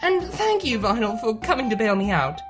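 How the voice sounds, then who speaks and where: Female reader as reserved, affectionate cartoon pony musician, high and proper, animated intonation. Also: moderate pace, over music. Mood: grateful. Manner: proper, precise diction.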